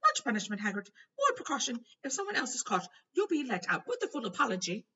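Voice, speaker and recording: A woman's voice: a little high, huge pitch swings, quick pace, bright, forceful tone, far from the mic, pitched above the performer's own voice, full character voice. A woman voicing an anxious, stammering middle-aged male minister in a storybook reading, pleading and repeating himself. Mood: anxious, defensive. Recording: noisy, dull home recording.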